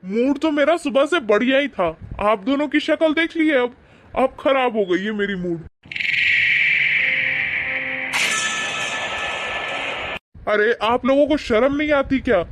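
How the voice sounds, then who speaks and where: High-pitched angry young husband, acted by a man. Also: animated, moderate pace, very noisy. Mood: rude, irritated. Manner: rude, accusing, indignant. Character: angry young husband scolding wife and mother-in-law. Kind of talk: animated moral-story dialogue.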